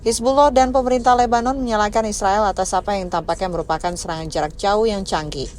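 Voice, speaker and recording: A woman reading a scripted news voice-over in a steady, formal way. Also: mid-range voice, quick pace, a little hiss or hum. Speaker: news narrator.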